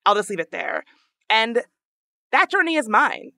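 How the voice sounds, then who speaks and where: A woman with a high voice, hosting a podcast in casual, earnest discussion, thinking aloud with frequent restarts. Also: sing-song, unhurried, gravelly, sharp tone, no echo, clean studio sound. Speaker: podcast host.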